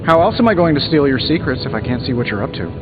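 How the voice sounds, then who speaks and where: A man's voice: medium pitch, sing-song, quick pace, highs cut off, over music, lightly acted. A man playing a cocky, wisecracking genius inventor superhero in a video game, with glib, sarcastic banter. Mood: teasing, amused. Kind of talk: video game dialogue acting.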